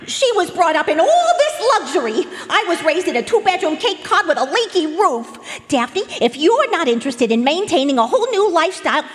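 A whiny, envious schemer in a radio play. Her voice is extremely high and swooping, full of melodrama, ringing out in a big hall. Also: sing-song, fairly quick, hardly any pauses. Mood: bitter, defiant. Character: shrill, envious, excitable female gold digger. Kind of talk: live radio drama acting. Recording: long hall reverb.

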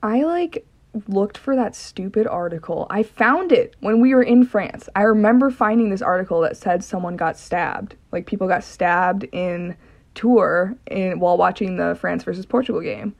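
A young woman tells a personal story casually, with noticeable vocal fry. Her pitch sits in the middle and her phrasing is informal, full of "like". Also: normal speed, a little mellow, muffled recording. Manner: informal, peppered with "like" and "literally".

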